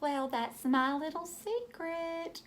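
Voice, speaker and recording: A woman voicing a sweet, coy lady schoolteacher in a storybook, sugary and drawn-out. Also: high-pitched, lively intonation, fairly bright tone, voice pushed up, heavily acted.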